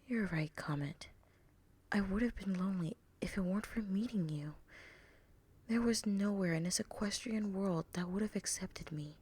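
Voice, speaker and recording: Woman voicing a soft, gentle, guilt-ridden bat pony girl. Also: medium pitch, moderate pace, husky, close to an everyday voice. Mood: tender, reflective. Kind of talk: fan fiction story reading.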